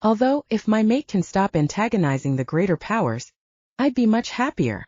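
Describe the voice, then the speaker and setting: Woman voicing a wry, mellow male werewolf. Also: medium pitch, sing-song, moderate pace, no room sound, lo-fi sound. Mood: wry, sincere. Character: calm, wry male werewolf, settled and sincere.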